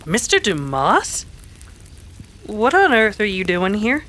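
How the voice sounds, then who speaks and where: An expressive, slow-spoken frontier heroine. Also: female voice, medium pitch, exaggerated voice. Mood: wary, alarmed. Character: frightened, resolute widowed frontier woman. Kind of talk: old-time western radio drama acting.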